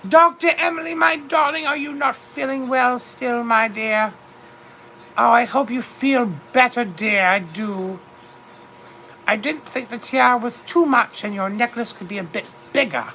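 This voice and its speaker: A woman's voice: a little high, unhurried, sharp tone, slight background noise, rough recording. A woman voicing a vain widowed duchess in her fifties with a British accent. She chats theatrically with her viewers and keeps calling everyone darling. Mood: grand. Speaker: livestream host. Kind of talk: in-character livestream chat.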